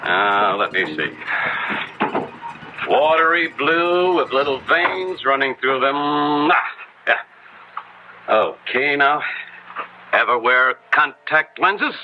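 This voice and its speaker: A man's voice: mid-range voice, animated. An actor playing a genial male make-up artist who muses and halts as he works, in a 1970s radio drama. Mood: absorbed, musing. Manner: musing, halting, then exclamatory. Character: genial, brisk make-up artist absorbed in his craft. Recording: noisy, dull old radio recording.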